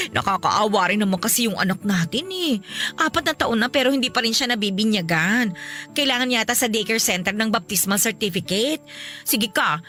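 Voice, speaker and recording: A brazen, teasing young mother in a radio play. Her voice is high and bright, swooping up and down as she needles and exclaims. She talks like a pushy houseguest who never stops chattering. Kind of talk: radio drama acting.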